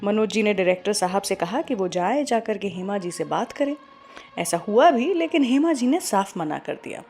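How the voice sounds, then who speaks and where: Indian woman radio host over soft music. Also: mid-range voice, expressive, normal speed. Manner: Hindi mixed with frequent English phrases. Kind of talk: scripted radio storytelling and song introductions.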